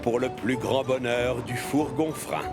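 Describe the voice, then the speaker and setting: A man's voice: mid-range voice, measured pace, close to an everyday voice. A man narrating a children's cartoon as a scripted storybook tale. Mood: storytelling. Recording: music bed behind the voice.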